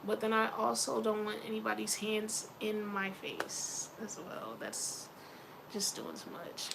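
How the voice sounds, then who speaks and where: A woman's voice: medium pitch, unhurried, gravelly, noisy background. A young woman from Philadelphia with a Philly accent, talking casually and rambling in a personal vlog, often laughing and mentioning her faith. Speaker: vlogger.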